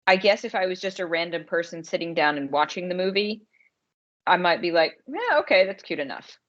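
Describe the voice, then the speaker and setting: A woman's voice: fairly low pitch, expressive, fairly quick, fairly bright tone, highs cut off. A woman co-hosting a podcast about romance writing, chatting casually as an author.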